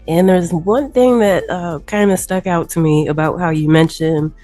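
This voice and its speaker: A woman hosting a podcast, relaxed and reflective, asking long questions and laughing along with her guest. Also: lowish voice, moderate pace, dry recording, soft background music. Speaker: podcast host. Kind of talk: casual interview conversation.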